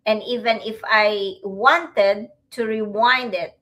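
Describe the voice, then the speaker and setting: Slow-speaking middle-aged Filipino-accented woman. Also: mid-range voice, steady tone, fairly bright tone, muffled recording. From Philippines. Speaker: host, online business owner. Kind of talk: livestream talk to viewers.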